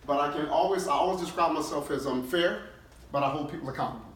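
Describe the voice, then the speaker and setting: Forceful motivational man in an echoey room. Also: on the high side, fast talker. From New Orleans, United States. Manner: emphatic, motivational, frequent check-in questions. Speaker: CEO leading a team-building session. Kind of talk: motivational talk to staff. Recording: echoey room, distant mic, faint noise.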